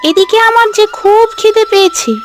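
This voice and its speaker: Very high whiny little girl. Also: steady tone, moderate pace. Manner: childlike, complaining. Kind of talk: Bengali cartoon storytelling dub. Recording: voice over background music.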